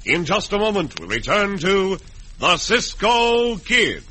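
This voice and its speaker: A man's voice: high-pitched, very animated, takes it slowly, noisy background, exaggerated voice. A man with an American accent narrating a western serial as announcer, in dramatic vintage radio style.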